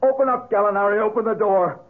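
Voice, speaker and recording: An actor playing a headstrong, indignant young man in a 1970s radio drama, urgent and demanding, with a husky voice. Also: high voice, fast, lightly acted. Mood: agitated demanding. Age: young adult. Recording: muffled old radio recording.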